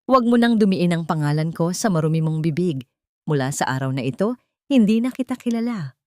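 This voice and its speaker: A woman voicing a cold, wealthy young man who speaks low and scornfully, in an audio drama story. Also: animated, a little fast, mellow, dry recording, close to an everyday voice.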